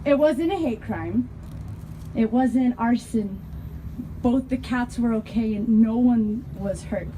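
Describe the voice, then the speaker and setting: A young woman from Denver performing spoken word poetry, rhythmic and impassioned, building to shouted lines. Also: fairly high pitch, slow pace, a little hiss or hum, rough recording. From Denver, Colorado.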